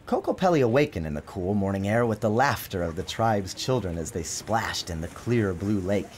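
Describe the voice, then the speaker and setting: Low-voiced, animated male storyteller. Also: normal speed, a little hiss or hum. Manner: expressive, theatrical storyteller delivery. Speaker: author narrating his storybook. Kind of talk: dramatic scripted storybook narration. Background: music.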